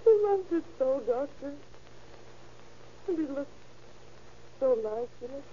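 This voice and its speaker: An actress playing a fragile, grieving mother in a 1930s radio drama, halting and tearful. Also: high-pitched, animated, mellow, slight background noise. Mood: distraught. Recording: muffled old radio recording.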